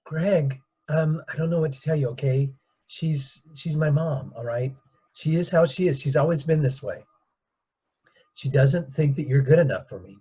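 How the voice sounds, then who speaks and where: A man's voice: a little high, normal speed, dark tone, slightly rough, highs cut off. A man voicing Janice, an exasperated wife defending her mother, pleading with her husband.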